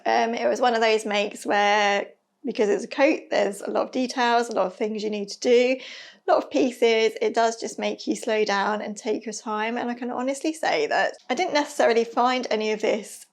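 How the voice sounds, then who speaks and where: A woman chatting casually about her sewing projects, a little hoarse from losing her voice, with a self-deprecating manner and frequent ums. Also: on the high side, fairly even intonation, moderate pace. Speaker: sewing vlogger.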